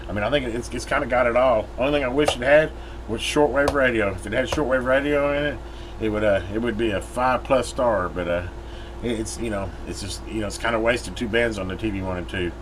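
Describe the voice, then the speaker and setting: A man's voice: mid-range voice, fairly quick. An American man giving a casual, unscripted product review, recorded inside a metal building. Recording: clear steady background noise.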